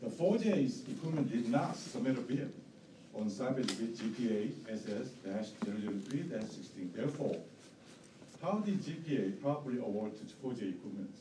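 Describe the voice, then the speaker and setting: A man who is not a native English speaker, with a strong accent, giving a formal statement at a hearing in halting, repetitive phrases. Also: medium pitch, lively intonation. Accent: strong non-native. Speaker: bidder presenting an appeal at a hearing. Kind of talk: formal statement, partly read aloud. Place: indoor hearing room. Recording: roomy, distant mic, faint noise.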